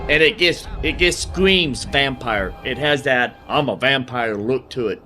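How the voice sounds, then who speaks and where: Casual man commentating over game music. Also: mid-range voice. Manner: repeats his phrases, folksy slang. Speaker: gaming video host.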